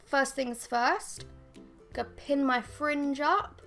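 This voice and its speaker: A young woman in her twenties, vlogging a makeup review at her desk at home, her voice a bit croaky from being ill. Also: high voice, takes it slowly, a bit bright, soft background music. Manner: chatty, rambling, self-deprecating. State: slightly croaky from illness. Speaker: beauty vlogger. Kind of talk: casual makeup review to camera. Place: bedroom with bookshelves, indoors. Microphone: fixed camera at desk.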